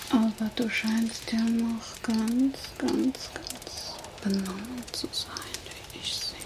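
A woman voicing a seductive, menacing female vampire in an ASMR roleplay, speaking in slow, teasing whispers. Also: a little high, steady tone, background noise.

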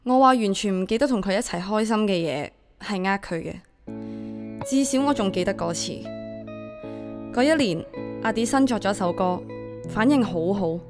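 A woman's voice: mid-range voice, lively intonation, moderate pace, pauses often, faint music behind, close to an everyday voice. A woman acting a burdened, devoted young single mother in a radio drama, emotive and confiding, with a Hong Kong Cantonese accent. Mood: wistful, hurt.

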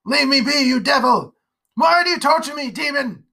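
A narrator voicing a haunted, drunken rural father who rants in a rough country dialect, raised and loud. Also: mid-range voice, a little slow. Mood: raving. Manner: rough rustic dialect, wild ranting. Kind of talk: storybook character voice.

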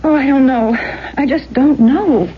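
A woman's voice: high-pitched, a little slow, slightly rough, heavily acted. A woman playing an anxious young aspiring actress in a 1970s radio drama, hesitant and repeating herself as she insists. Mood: shaken, insistent. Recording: dull vintage radio recording.

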